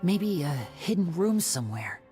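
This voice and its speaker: A woman's voice: on the low side, very animated, normal speed, mellow, faint music behind. A woman voicing a suave, clever young male magician, theatrical and self-assured, in an anime video game. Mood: musing.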